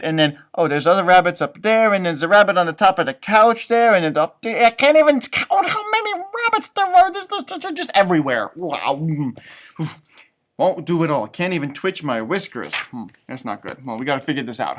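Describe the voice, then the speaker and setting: A male teacher reads a picture book to young children in a very animated voice. His pitch moves widely as he slips into chatty asides, wondering aloud and repeating himself. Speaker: elementary music teacher reading a storybook aloud.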